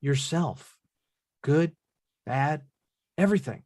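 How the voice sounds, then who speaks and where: An earnest, frustrated grown son played by a man, with a slightly high voice. The sound is close and dry, and the delivery is naturalistic drama from a script read. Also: expressive, slow pace, a little mellow, dry recording. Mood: pleading. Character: frustrated, earnest adult son confronting his father. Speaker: actor in a screenplay table read.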